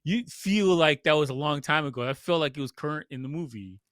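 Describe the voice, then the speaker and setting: Male podcast co-host, bright forceful voice on the higher side, casual and bluntly opinionated. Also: fairly quick, no room sound, clean studio sound. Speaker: podcast co-host reviewing films. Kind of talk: casual film review chat.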